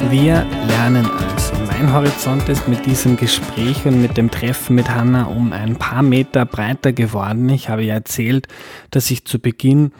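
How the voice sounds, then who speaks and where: A man's voice: medium pitch, fairly even intonation, normal speed, over music. A man, hosting a podcast interview, who asks questions in a plain, conversational way. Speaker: podcast host. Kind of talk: interview and reflective closing.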